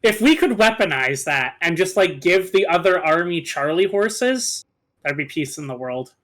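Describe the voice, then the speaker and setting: A man's voice: fairly high pitch, animated, normal speed, fairly bright tone. A man chatting casually with friends as co-host of a gaming video, describing things with colorful exaggeration. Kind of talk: casual chat among friends over a game video.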